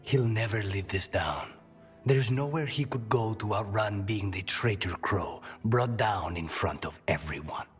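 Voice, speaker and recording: An actor playing a brooding, dry-witted assassin haunted by a demon in a video game, speaking in measured, wry, clipped phrases. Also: male voice, fairly low pitch, faint music behind, natural voice. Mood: grim.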